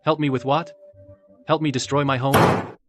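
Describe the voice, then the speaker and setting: A man's voice: mid-range voice, little pitch movement, moderate pace, loud music behind the voice, natural voice. A man playing a defiant younger brother protective of his wife in a dubbed family radio drama. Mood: defiant, angry.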